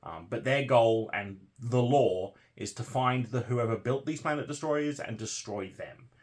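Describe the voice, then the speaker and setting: A man's voice: mid-range voice, a little slow, darkish tone. A man with a mid-range voice talks to his viewers as the host of a book read-along. His delivery is casual and conversational, moving between recap and his own musings.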